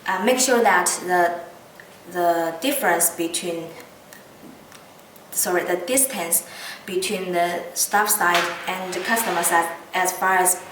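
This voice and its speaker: Halting non-native English-speaking woman, slow, echoey room. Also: fairly low pitch. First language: not English. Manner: halting, hesitant speech with frequent pauses mid-phrase. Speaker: product demonstrator. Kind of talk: unscripted product demonstration. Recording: roomy echo, distant mic, background noise.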